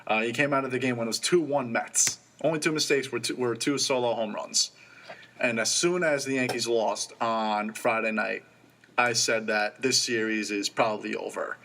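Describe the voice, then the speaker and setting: A young man co-hosting a college sports radio show, reading off stats in casual, excitable talk. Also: medium pitch. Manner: rattles off stats quickly, excitable.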